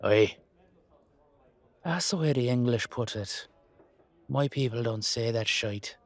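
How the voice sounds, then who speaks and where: A man's voice: mid-range voice, takes it slowly, dry recording. A man voicing a cheeky, centuries-old leprechaun with an Irish accent in a roleplay audio drama, blunt, roguish and teasing. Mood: indignant.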